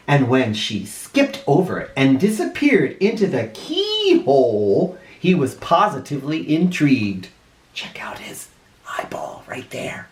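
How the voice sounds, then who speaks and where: Animated man reading a children's storybook. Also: on the high side. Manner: expressive, deliberate, dramatic emphasis. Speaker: first grade teacher. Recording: roomy, echoey indoor sound.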